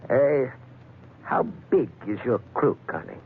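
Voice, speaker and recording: A man's voice: medium pitch, expressive, unhurried, breathy edge. A man playing a menacing Spanish pirate captain in a 1940s radio drama, with a Spanish accent and broken, mock-courteous English. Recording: muffled old 1940s radio recording, faint noise.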